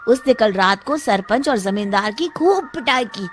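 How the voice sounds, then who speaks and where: A woman voicing an alarmed, superstitious village man, anxious and excitable, in an animated Hindi story. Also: fairly high pitch, normal speed, lightly acted. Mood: worried. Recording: faint background noise.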